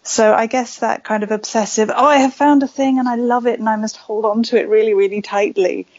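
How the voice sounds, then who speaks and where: A woman's voice: on the high side, steady tone, a little fast, a little mellow, no echo. Woman from West Wales, author guest, chatty, rambling, dry close sound, dull lo-fi. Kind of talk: casual interview chat.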